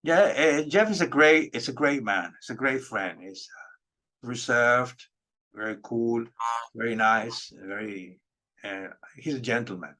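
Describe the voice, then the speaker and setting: A middle-aged man from Peru, a native Spanish speaker, chatting casually in English as an interviewer and pausing often as he explains himself. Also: medium pitch, pushed and bright.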